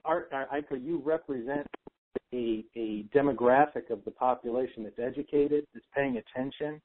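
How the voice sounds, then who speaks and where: Male call host, mid-pitched, earnest and explanatory, heard over a narrow phone line. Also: normal speed, no room sound. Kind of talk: casual discussion on a conference call. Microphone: telephone.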